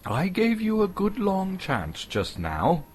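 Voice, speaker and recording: A man plays a small, plucky hobbit adventurer in a fantasy storybook. His voice is raised high and jumps around in pitch. He sounds impatient and emphatic, exclaiming his lines. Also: huge pitch swings, takes it slowly, slight background noise, exaggerated voice.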